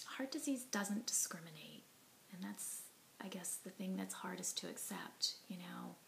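A woman in her forties speaks straight to a camera close in front of her, earnest and reflective, her voice sitting a little low with a gravelly edge. She often drops to a soft, breathy tone and fills pauses with ums and you knows. A faint hiss runs under the recording. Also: rough recording. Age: 40s. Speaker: heart attack survivor telling her story. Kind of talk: personal testimony to camera. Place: living room at home, indoors. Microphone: device camera at arm's length, sitting close.

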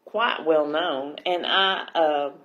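A woman's voice: low-pitched, slow pace, a bit bright, highs cut off, pitched below the performer's own voice. A woman voicing a tiny, polite young mermaid with a prim, precise manner in a children's storybook. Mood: shy, proud. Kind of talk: children's picture book read-aloud.

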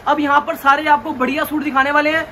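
A young man with a high voice pitches his clothing stock inside a big shop, insistently repeating his reassurances. A faint hum of ambience sits under his voice. He is recorded close on a phone camera. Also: little pitch movement, fast talker, pushed and bright. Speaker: shop owner. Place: large indoor clothing shop.

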